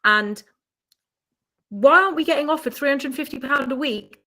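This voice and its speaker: A woman's voice: high voice, moderate pace, sharp tone. A woman co-hosting a podcast, speaking insistently and emphatically with rhetorical questions. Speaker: podcast co-host. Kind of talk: opinionated casual podcast chat.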